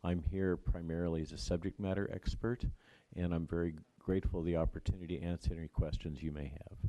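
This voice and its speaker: A man with an extremely deep, flat voice gives formal testimony as a subject-matter expert. His tone is dark and mellow, and he speaks in a measured, deliberate manner. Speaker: scientific expert witness.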